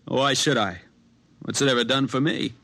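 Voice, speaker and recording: A man's voice: mid-range voice, moderate pace, pushed and bright, no room sound. A man playing a jokey, grumbling young college pal with a congested voice in a 1950s radio drama. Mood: grumbling, joking. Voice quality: stuffed-up, congested. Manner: casual, wisecracking.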